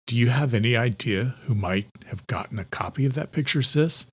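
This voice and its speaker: Male narrator as a determined protective brother, gruff, middle pitch, thriller audiobook. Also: brisk, natural voice. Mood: concerned.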